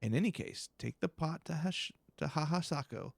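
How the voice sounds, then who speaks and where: Pompous male scholar for a fantasy game, acted by a man: condescending, fussy, verbose, some fry in the voice. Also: a little high, animated, moderate pace, dry recording, voice pushed up. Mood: instructing, condescending. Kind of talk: video game dialogue read aloud.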